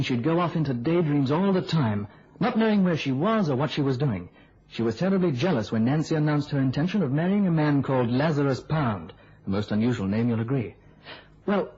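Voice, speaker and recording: Muffled old radio play: keen young reporter, breezy storyteller, very husky. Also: male voice, fairly high pitch, a little mellow, exaggerated voice. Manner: chatty, storytelling, slightly theatrical. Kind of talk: mid-century radio drama acting.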